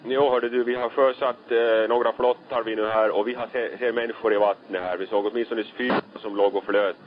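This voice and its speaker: A man's voice: fairly low pitch, steady tone. A man, speaking as a ship officer reporting over marine radio. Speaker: ship officer at a rescue scene. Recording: muffled, band-limited marine radio transmission.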